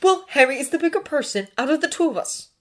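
A high, very animated teenage boy wizard acted by a woman for an audiobook. He is sarcastic and stubbornly loyal, with a defiant, teasing edge and a quick temper. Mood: angry, defensive.

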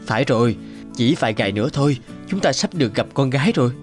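A man's voice: mid-range voice, lively intonation, fast, lightly acted. A man playing a devoted, anxious young husband and new father in a dubbed cartoon drama, speaking earnestly and emotively. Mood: tender, reassuring. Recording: music behind the voice.

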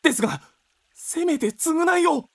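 Man playing meek male student, polite and hesitant, high swooping pitch, reverberant radio drama. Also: expressive, a little slow, creaky, a bit bright, heavily acted. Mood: contrite. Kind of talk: Japanese radio drama acting.

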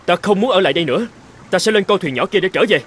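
A man's voice: sits high, lively intonation, very fast, fairly bright tone, a little hiss or hum. A man voicing a brawny, honorable but anxious island chieftain in a cartoon fairy tale, speaking in an earnest, pleading manner. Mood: alarmed, defiant. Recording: prominent music behind the voice.

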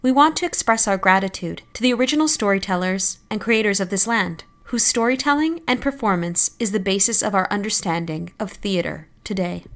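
Mid-pitched woman reading an announcement. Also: fairly bright tone. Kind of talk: scripted land acknowledgement.

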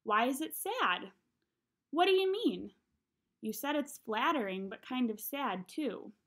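A woman's voice: high voice, big pitch swings, unhurried, fairly bright tone, full character voice. A woman voicing a reluctant, blunt young boy in a storybook, with a whiny, protesting manner.

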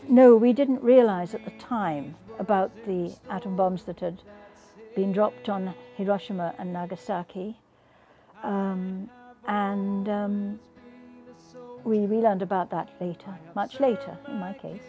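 An older woman, around eighty, recalling her childhood for an interview in a measured, pausing way. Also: medium pitch, fairly even intonation, dark tone, muffled recording, soft background music. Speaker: former internment camp child. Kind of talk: interview reminiscence. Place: outdoors in a garden. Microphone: lapel.

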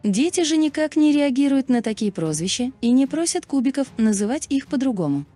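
A woman's voice: high-pitched, sing-song, fairly quick, mellow. A woman reading scripted, documentary-style narration. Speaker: video narrator. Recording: music behind the voice. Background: background music.

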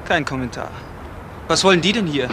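A man acting as a defiant gang member with a fairly high voice, curt and evasive. It is a crime radio drama on a noisy recording. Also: lively intonation, a little fast, close to an everyday voice.

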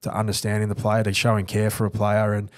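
An Australian-accented man with a low voice talks through his thoughts in an earnest, reflective way, often restarting his sentences. He speaks into a boom-arm studio mic, and the sound is dry and close. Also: completely flat delivery, fast, dry recording. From New Zealand. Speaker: former rugby league player. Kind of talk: podcast interview.

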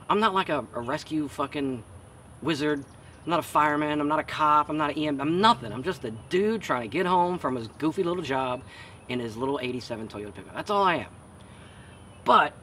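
A middle-aged American man telling a personal story casually and bluntly, with plenty of profanity and acted-out dialogue, recorded outdoors. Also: a little high. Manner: animated, blunt, acts out dialogue. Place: outdoors in a garden. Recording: slightly distant outdoor mic, background noise.

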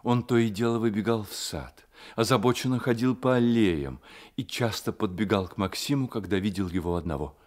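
A man's voice: fairly low pitch, a little fast, a little mellow. A man reading literary narration as the storyteller of a radio play.

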